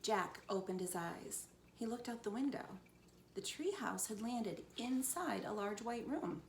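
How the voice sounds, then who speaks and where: A woman's voice: mid-range voice, animated, a little slow, gravelly. A woman reading a children's storybook aloud as a teacher would, expressively, for young listeners. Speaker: teacher reading to first graders. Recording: echoey room, background noise.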